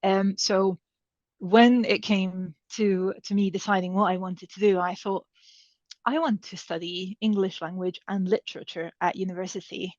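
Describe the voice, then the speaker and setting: A woman's voice: mid-range voice, fairly even intonation, moderate pace, darkish tone, dry recording. A woman shares her career story at an advice evening, talking loosely with plenty of ums and restarts. The sound is dull and a bit lo-fi, close and dry with no room around her. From Serbia. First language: Hungarian. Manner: conversational, many fillers and restarts. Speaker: panel speaker.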